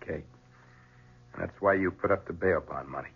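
A bitter, guilt-ridden man talks in a low, very husky, rough voice. The sound is a narrow, tinny 1970s radio drama. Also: brisk, full character voice. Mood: bitter, sullen.

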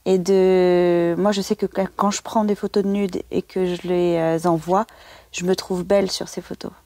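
A woman's voice: on the low side, level tone, moderate pace, dark tone, a little hiss or hum. A woman in her thirties giving an informal, explanatory personal testimonial with hesitant phrasing. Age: 30s.

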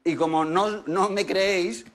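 A man in his forties with a Spain Spanish accent, hosting a lively TV interview with playful teasing and quick questions. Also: sits high, soft background music. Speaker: TV show host. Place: large TV studio set. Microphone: lapel.

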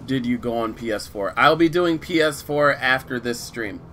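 A laid-back man streaming a video game, chatting and rambling to his viewers through a desk mic. His voice is getting weak and sits at an ordinary pitch. Faint noise sits in the background. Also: moderate pace. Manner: relaxed, rambling, greeting viewers. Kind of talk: casual live-stream chat, reading viewer chat.

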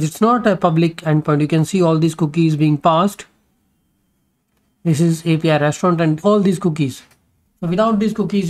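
A man explaining code step by step in a screen-recorded programming tutorial, with many fillers and restarts as he goes. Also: fairly high pitch.

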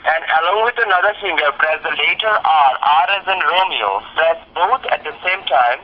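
A man's voice: a little high, moderate pace, a little hiss or hum. A man working as a call center tech support caller, giving insistent scripted instructions and saying sir, heard through a phone speaker. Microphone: phone speaker recorded by a nearby camera. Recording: dull speakerphone call picked up by camera.

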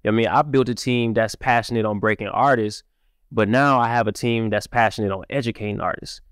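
A man from Cleveland, raised partly in Mississippi, talking casually as a music-marketer guest on a podcast, with lots of fillers, into a studio boom mic. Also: fast, smooth, no room sound. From Cleveland, Ohio, raised partly in Mississippi. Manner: animated, repetitive fillers. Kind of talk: casual interview talk. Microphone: studio mic on boom arm.

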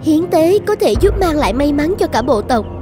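An earnest, high-voiced chief's daughter. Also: female voice, very animated, moderate pace, over music. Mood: reassuring. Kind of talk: Vietnamese cartoon fairy-tale dubbing.

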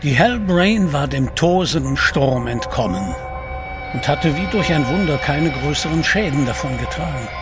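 A man narrating an adventure audio drama in a scripted, dramatic storytelling style. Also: a little high. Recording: music behind.

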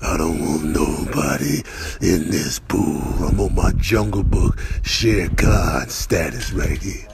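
A man plays a bossy male tiger in a comic animal voiceover. The voice swings widely in pitch, slangy and repetitive, over noisy ambience. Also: animated, slow, creaky, full character voice. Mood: menacing territorial. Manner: repetitive, slangy, wheedling then territorial. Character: boastful bossy male tiger guarding his pool.

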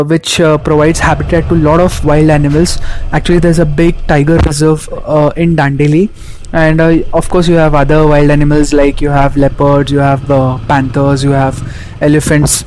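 A young man with an Indian English accent narrating his motorcycle ride casually, filling pauses with frequent "uh"s, recorded on a helmet camera outdoors. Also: a little high, a little mellow, low-quality recording. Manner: hesitant, frequent "uh" fillers. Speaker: moto-vlogger. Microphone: helmet-mounted action camera. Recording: wind and road noise. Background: road and engine noise.

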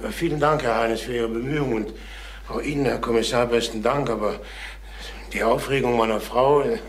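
An actor playing a tired, defensive salesman in a German crime radio play, speaking brusquely, evasively and haltingly. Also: medium pitch, fairly even intonation, natural voice. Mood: agitated, formal. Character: tired, irritable male salesman, shaken and defensive. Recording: faint noise on old radio recording.

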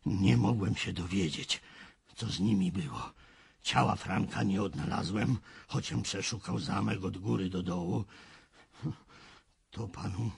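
Slow, gravelly old castle servant. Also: male voice, low-pitched, a little mellow, heavily acted. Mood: grave, confiding. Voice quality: raspy, creaky. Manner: halting, folksy storytelling, dramatic. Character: old castle servant, agitated, haunted, confessing a horror. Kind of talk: radio drama acting.

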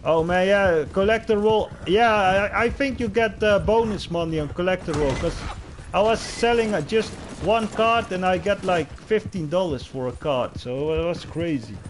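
A man's voice: sits high, moderate pace, a little hiss or hum. A young man from the Netherlands with a Dutch accent, chatting casually with viewers and fellow players while hosting a game livestream. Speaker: livestream host. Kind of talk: casual gaming livestream chat.

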